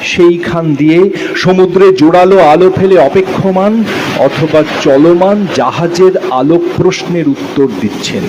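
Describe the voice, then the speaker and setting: A man playing a reflective writer, narrating memories in an audio drama in a measured, wistful way with pauses. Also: fairly high pitch, background noise. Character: reflective male writer recalling his island days. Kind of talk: dramatic literary story narration.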